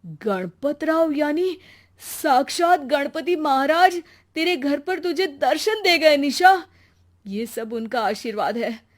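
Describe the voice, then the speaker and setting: A woman's voice: sits high, lively intonation, takes it slowly, voice pushed up. Woman acting devout, reassuring village husband. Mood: amazed, devout. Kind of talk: Hindi moral storybook acting.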